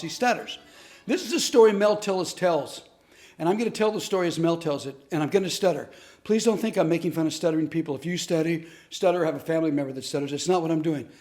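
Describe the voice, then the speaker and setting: A man teaching a lesson through true stories, told in an earnest, animated way. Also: on the high side. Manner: earnest, animated storyteller who acts out quotes. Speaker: comedic speaker and teacher. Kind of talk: storytelling lesson to camera.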